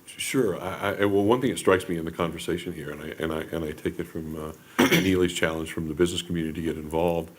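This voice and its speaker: A man speaking on a discussion panel, halting, with repeated stammering restarts. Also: low-pitched, fairly even intonation.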